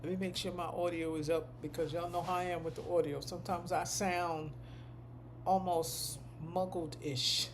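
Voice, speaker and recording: A woman with a deep voice, a medical coding instructor, chatting casually to her class on a live stream, rambling and repeating points for emphasis. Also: fairly even intonation, moderate pace, slight background noise.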